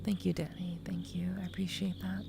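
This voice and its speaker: A young woman speaks in a soft, soothing ASMR half-whisper, her voice a touch scratchy from recent crying. Her tone sits low and stays level, with little rise or fall. Music plays clearly underneath her. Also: little pitch movement, a little fast. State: slightly scratchy voice after crying. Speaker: VTuber streamer. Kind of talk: ASMR stream chat.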